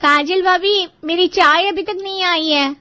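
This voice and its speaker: A woman voicing a lazy, pampered young daughter in an animated family drama, whiny and demanding. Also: extremely high pitch, measured pace, full character voice.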